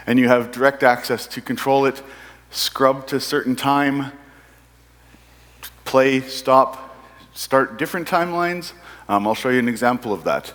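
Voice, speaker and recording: A man's voice: medium pitch, fairly bright tone. A man giving a product presentation at a conference as a product manager. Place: large indoor conference hall. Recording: echoey hall with long reverb.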